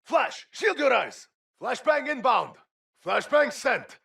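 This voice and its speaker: A tense game soldier, high and sharp with a raspy edge. He fires off urgent, clipped battlefield warnings through a radio filter with swooping intonation. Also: big pitch swings, slow pace, exaggerated voice. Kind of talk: shouted combat callouts.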